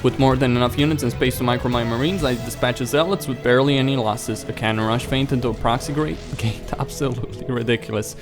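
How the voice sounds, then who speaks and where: A man's voice: mid-range voice, steady tone, fast talker, hardly any pauses. A man hosting a let's play, reading a visual novel aloud and sometimes stumbling over the words, with music behind him. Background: background music.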